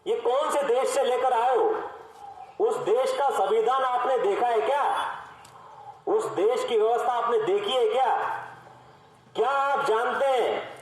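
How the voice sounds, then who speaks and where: A man giving an emphatic, challenging political street speech, repeating phrases and asking rhetorical questions over a loudspeaker. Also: very high voice, unhurried. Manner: exhorting, challenging. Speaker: political activist orator. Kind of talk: street election speech, oratory. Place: public address over loudspeaker. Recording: loudspeaker speech, heavy echo, some noise.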